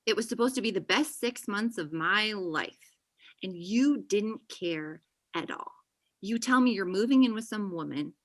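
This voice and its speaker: A woman's voice: medium pitch, animated, a little slow. A young woman acting a blunt, defensive friend in a table read over a video call. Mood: hurt. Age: young adult.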